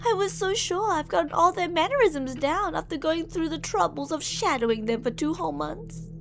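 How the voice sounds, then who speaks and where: A woman's voice: very high voice, lively intonation, vocal fry, over music, full character voice. A woman voicing an obsessive, menacing shapeshifting monster who coaxes and taunts intimately in an ASMR horror roleplay. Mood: taunting. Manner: intimate, taunting, possessive, with drawn-out phrases. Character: obsessive female shapeshifting monster posing as a lover, menacing, coaxing.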